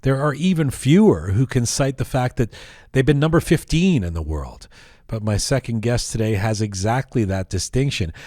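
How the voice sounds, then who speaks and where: A man's voice: mid-range voice, expressive, moderate pace, dark tone, dry recording, studio quality. A man hosting a podcast, reading a scripted introduction and then asking playful, teasing follow-up questions. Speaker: podcast host.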